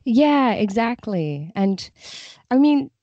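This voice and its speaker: Woman guest chatting on a podcast, soft mellow tone, bit dull sounding mic, no room echo. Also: on the high side, sing-song, slow pace. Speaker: podcast guest, freelance translator. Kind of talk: casual interview chat.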